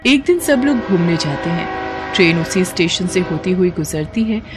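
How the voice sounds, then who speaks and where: A woman narrating a cartoon horror story in a steady, scripted manner. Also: lowish voice, moderate pace, background noise.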